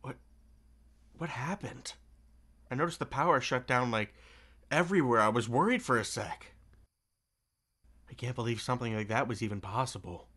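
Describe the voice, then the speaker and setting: A man's voice: medium pitch, moderate pace, pauses often, sharp tone. An actor playing a kind, concerned young male neighbour in an audio roleplay, speaking in a hesitant, informal way. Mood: relieved concern. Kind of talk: naturalistic audio roleplay acting. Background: music.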